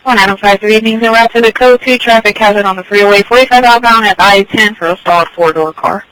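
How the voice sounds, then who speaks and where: A woman making brisk, terse calls over a police scanner radio. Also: mid-range voice, a bit bright, no room sound, low-quality recording. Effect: police radio transmission.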